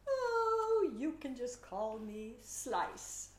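A woman's voice: sits high, very animated, extremely slow, a little mellow, pitched above the performer's own voice, exaggerated voice. A woman voicing a cheerful, chatty magic pickle slice that explains things and bursts into song, in a roomy-sounding recording. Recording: roomy echo.

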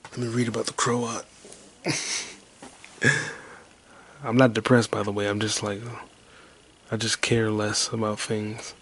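A man in his twenties rambling alone on his podcast in a detached, low-energy, half-whispered way. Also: little pitch movement, slow pace, pauses often, fairly bright tone, slight background noise. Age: 20s. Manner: low-key, detached, mumbling. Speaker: podcast host. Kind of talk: rambling solo podcast monologue.

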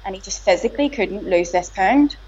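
A woman's voice: mid-range voice, lively intonation, brisk, darkish tone. Belfast woman chatting, stops and restarts a lot, kinda dull lo-fi audio. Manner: conversational, hesitant restarts. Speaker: guest, boxer's partner. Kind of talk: casual interview. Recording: slightly dull lo-fi sound.

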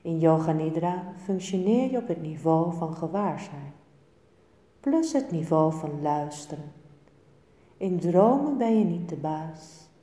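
A woman teaching yoga nidra, giving guided relaxation instructions in a calm, soothing, measured way with pauses between short phrases. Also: lowish voice. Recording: echoey room with long reverb.

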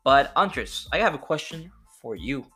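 A Dominican-born man co-hosting a casual podcast, loud, profane and joking, laughing often. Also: mid-range voice, big pitch swings, a little slow, husky, faint music behind. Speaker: podcast co-host.

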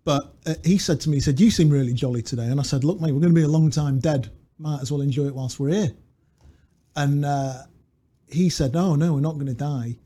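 A man's voice: a little high, a little fast, warm tone, breathy edge. A man co-hosting a podcast, reflective and storytelling, reading quotes into a studio mic. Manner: reflective, storytelling, laughs easily. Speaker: podcast co-host. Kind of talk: casual chat, reading quotes. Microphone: studio mic with pop filter.

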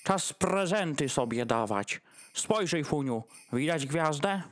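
A woman's voice: deep voice, expressive, slow. A woman playing a fussy, harried male dog hosting a holiday meal, declaiming in rhyme in an audio drama. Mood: concerned, leading. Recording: music behind the voice.

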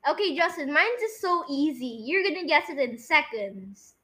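Bright, high young voice, casual game-night podcast host. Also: animated, normal speed. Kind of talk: casual guessing-game chat.

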